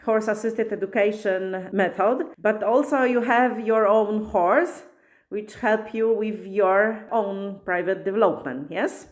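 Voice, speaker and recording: Slow, measured woman host. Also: mid-range voice. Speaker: podcast host and coach. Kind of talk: scripted intro, then interview.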